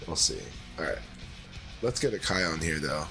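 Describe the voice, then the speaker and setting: Low-voiced man chatting on stream. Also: moderate pace, music in the background. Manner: relaxed, rambling, many fillers. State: voice deeper than usual after secondhand smoke. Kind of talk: casual livestream chat.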